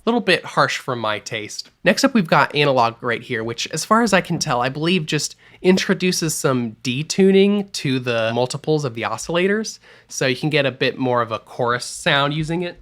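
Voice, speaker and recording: Relaxed man explaining music software. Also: a little high, moderate pace, hardly any pauses. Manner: relaxed, explanatory, mildly opinionated. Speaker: music production tutor. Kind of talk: screen-recorded software tutorial. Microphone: voice-over over screen capture.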